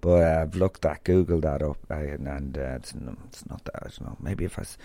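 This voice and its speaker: An Irish man with a very low, dark, mellow voice, talking in a loose, rambling, chatty way. He sounds like a podcast host telling stories. The sound is dry and close, with no room around it. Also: fairly quick, gravelly, no echo. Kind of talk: casual rambling monologue and interview.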